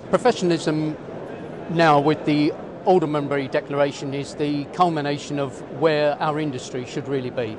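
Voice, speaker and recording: A man's voice: on the high side, steady tone, moderate pace. A middle-aged man, an insurance broker, giving a formal, earnest vox pop answer at a busy exhibition hall. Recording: background hall noise.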